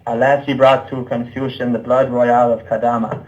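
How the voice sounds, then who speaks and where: A man's voice: mid-range voice, level tone, normal speed, darkish tone, muffled recording, lightly acted. A man playing a wretched, lovelorn medieval knight in a puppet show, reciting old verse in a declamatory way. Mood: anguished lament.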